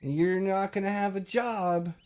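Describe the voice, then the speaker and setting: A man hosting a call-in show, bantering deadpan with a caller, full of hesitations and restarts. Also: high-pitched, moderate pace, crowd noise. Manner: hesitant, full of ums and restarts, straight-faced.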